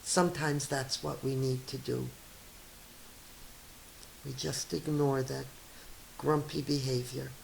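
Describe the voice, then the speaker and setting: Slow-paced woman reading to children. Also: extremely low pitch, vocal fry, a little hiss or hum, rough recording. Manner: encouraging, expressive, asks children questions. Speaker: teacher reading aloud to children. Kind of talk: children's story read-aloud with teaching asides.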